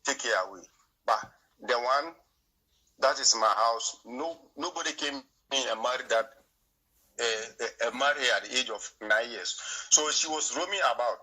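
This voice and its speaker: A Ghanaian-accented man whose first tongue is Twi argues his point in a heated talk. His voice is bright and husky. He stumbles, circles back and repeats words as he pushes on. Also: mid-range voice, poor audio. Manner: repetitive, halting, argumentative. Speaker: guest. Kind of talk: heated debate.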